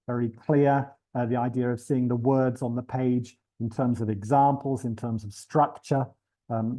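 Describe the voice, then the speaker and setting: A man's voice: mid-range voice, warm tone, low-quality recording. Hesitant man presenting a webinar. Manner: hesitant, many fillers and restarts.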